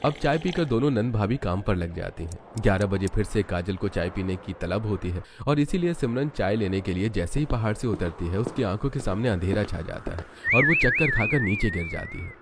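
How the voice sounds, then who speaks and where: A man's voice: lowish voice, huge pitch swings, a little fast, few pauses, background noise. A man reading scripted narration for a cartoon story as its narrator.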